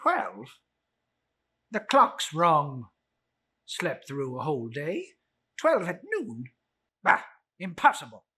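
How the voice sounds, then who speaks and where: Storybook old miser, acted by a man, blustering and nervous, raspy with wide pitch swings. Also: huge pitch swings, slow, long pauses, sharp tone, exaggerated voice. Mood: indignant disbelief. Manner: stammering, exclamatory. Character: crotchety, frightened old miser, blustering then pleading.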